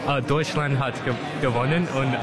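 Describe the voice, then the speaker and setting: A man's voice: mid-range voice, steady tone, normal speed. A young man from Hong Kong with a foreign accent in German, not his native language, answering casually and hesitantly in an interview. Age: young adult. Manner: hesitant, word-by-word phrasing. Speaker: student being interviewed. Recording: loud music and crowd noise. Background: loud music and crowd.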